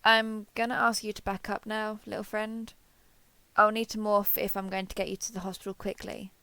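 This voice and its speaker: A woman plays a giant alien robot soldier from an audio drama, caring and slightly awkward. The voice is bright and forward with some creak, recorded close and dry. The delivery is gentle and reassuring. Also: mid-range voice, little pitch movement, moderate pace, no echo. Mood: friendly, earnest. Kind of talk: scripted roleplay audio drama addressed to listener.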